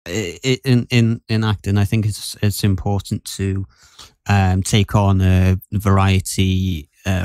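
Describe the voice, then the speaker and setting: A man in a casual podcast discussion, speaking in a hesitant, halting way as he talks about his acting. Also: sits low, darkish tone, no echo, clean studio sound. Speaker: podcast guest actor.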